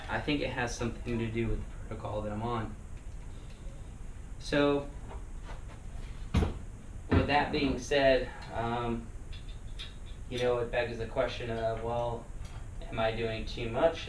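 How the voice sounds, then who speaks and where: A man talking casually in a personal vlog, rambling with many fillers and restarts, his voice still getting over hoarseness. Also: mid-range voice, steady tone, slow, long pauses. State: recovering from lost voice. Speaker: vlogger. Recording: echoey room, mic at a distance, noisy.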